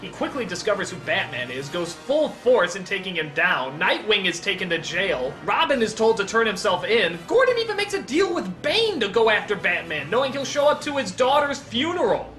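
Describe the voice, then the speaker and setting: A man's voice: high-pitched, moderate pace, hardly any pauses, soft background music. A man narrating a scripted comedic review in an emphatic, exclamatory way. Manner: animated, emphatic, exclamatory, profane. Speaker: video reviewer and countdown host.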